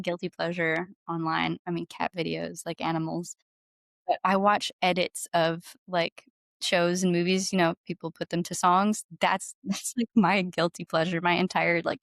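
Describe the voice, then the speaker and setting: Low-pitched woman, casual and enthusiastic. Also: clear, resonant tone, no room sound, clean studio sound. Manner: enthusiastic, informal, frequent "like". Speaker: podcast guest, singer-songwriter. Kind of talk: casual conversational interview.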